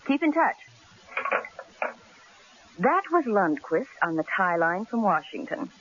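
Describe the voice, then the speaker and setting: An actress playing an earnest, sharp newspaper secretary in a radio drama, affectionate but uneasy, with expressive delivery. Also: female voice, medium pitch, slow pace. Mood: brisk, curious. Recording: muffled old radio broadcast with hiss.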